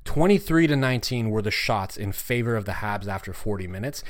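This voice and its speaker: A man's voice: lowish voice, animated, fairly quick. A man hosting a casual hockey recap podcast, rambling and swearing, while losing his voice. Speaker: podcast host.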